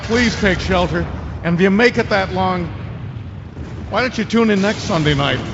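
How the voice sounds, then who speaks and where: High-pitched, panicked sports radio host. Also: male voice. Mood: grave farewell. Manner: frantic, shouting. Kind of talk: live radio drama acting as a sports broadcast. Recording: dull, clearly noisy broadcast with vehicle ambience.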